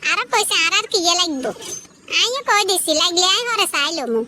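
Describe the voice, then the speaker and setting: Comedy cartoon busybody: Noakhali Bangladeshi accent, boastful and goading, extremely high, rapid delivery, noisy track. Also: female voice, lively intonation, pushed and bright, heavily acted. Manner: gossipy, goading. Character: meddling, boastful cartoon sister-in-law, sly and stirring.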